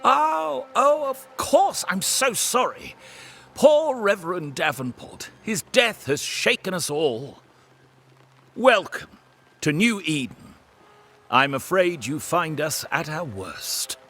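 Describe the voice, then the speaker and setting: An actor playing a wary travelling merchant squire in a period game, speaking in a theatrical, formal and florid way. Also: male voice, high voice, lively intonation, slow pace, sharp tone, exaggerated voice. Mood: flustered, courteous. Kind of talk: dramatic period game acting.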